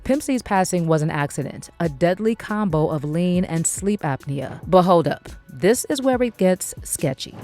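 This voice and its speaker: Female narrator, lowish pitch, scripted documentary read with dramatic, chatty storytelling, light music bed. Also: a little mellow.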